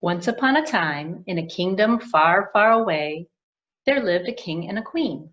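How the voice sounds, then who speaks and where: A woman tells a fairy tale to young children, slowly and gently. Her voice rises and falls expressively. The recording sounds dull and lo-fi. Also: fairly low pitch, expressive. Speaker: children's librarian and puppeteer. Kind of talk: storytelling for children.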